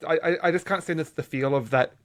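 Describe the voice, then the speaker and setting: A man's voice: fairly high pitch, fast talker. A man co-hosting a podcast, chatting casually about games in a rambling way, often restarting his phrases. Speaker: podcast co-host.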